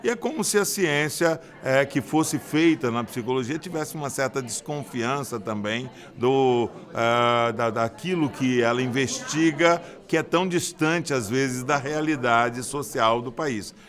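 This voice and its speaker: A man giving a measured, reflective interview answer with hesitant fillers. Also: medium pitch, fairly bright tone.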